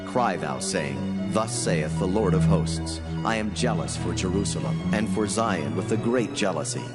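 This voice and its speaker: A grave angel delivering divine decrees in a dramatized scripture reading. The voice is low and declamatory, full of command, with prominent music underneath. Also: male voice, expressive, measured pace. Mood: proclaiming. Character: solemn commanding male angel proclaiming God's word.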